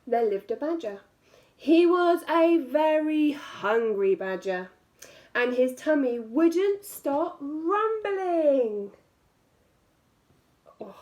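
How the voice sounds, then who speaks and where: Very high-pitched, animated woman reading a storybook. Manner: expressive, drawn-out emphasis on key words. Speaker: primary school teacher reading aloud. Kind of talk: animated children's picture-book reading with asides to listeners.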